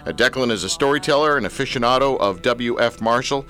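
A man's voice: mid-range voice, fast talker, a bit bright. A man hosting a casual interview show, joking and teasing his guest. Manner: jokey, teasing banter. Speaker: radio show host.

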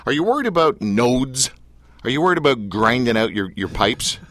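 A man hosting a radio interview, asking quick questions and teasing his guest with jokes. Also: mid-range voice, big pitch swings, a bit bright, no echo. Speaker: radio show host. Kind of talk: casual interview banter.

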